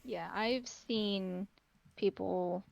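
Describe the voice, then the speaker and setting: A woman co-hosting a casual podcast, hoarse from a sore throat, talking haltingly. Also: medium pitch, no echo. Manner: halting, lots of likes. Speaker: podcast co-host. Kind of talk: casual chat.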